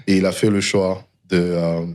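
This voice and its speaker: Male interview guest, low register, reflective storyteller, slips English phrases into French. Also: little pitch movement, moderate pace, a little mellow. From DR Congo and Canada. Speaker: interview guest, tech founder. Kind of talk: reflective personal storytelling in conversation.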